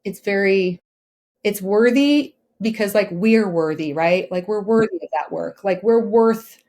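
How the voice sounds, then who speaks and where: A woman's voice: mid-range voice, unhurried, darkish tone. Woman in her 40s, podcast guest, candid chatty talk, emphatic, keeps checking you agree. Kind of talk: candid personal conversation.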